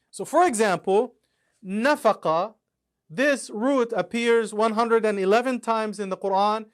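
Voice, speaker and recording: A man, an author and imam, explaining his Quran dictionary and how it was made, step by step with examples. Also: sits high. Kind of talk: explanatory talk about a book.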